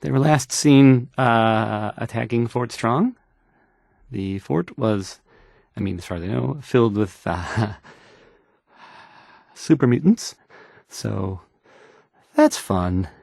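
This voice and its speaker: An actor playing a nervous, bumbling, insecure young radio DJ in a game, hesitant and stammering through the news. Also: male voice, medium pitch, slow pace, creaky, pauses often, a little mellow, no room sound, clean studio sound. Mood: uneasy. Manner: stammering, hesitant, self-doubting. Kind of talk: halting radio news announcing.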